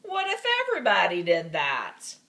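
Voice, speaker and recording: A woman's voice: fairly low pitch, wildly swooping pitch, normal speed, a bit bright, exaggerated voice. A woman voicing stern grown-ups who emphatically scold a naughty child in a storybook.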